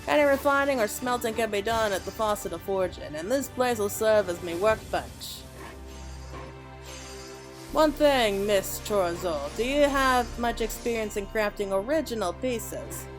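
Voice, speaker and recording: A woman's voice: mid-range voice, expressive, measured pace, over music, close to an everyday voice, rough recording. Woman reading as folksy veteran master craftsman. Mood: plain-spoken, encouraging. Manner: folksy dialect wording with "me" and "ye". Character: gruff, plain-spoken veteran male master craftsman.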